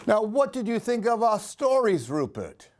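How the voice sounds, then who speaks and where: A man's voice: high voice, sing-song, normal speed, a little mellow, a little hiss or hum. A man reading a children's story expressively, in a sing-song way, putting questions to young listeners. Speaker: storyteller reading picture books for a library program.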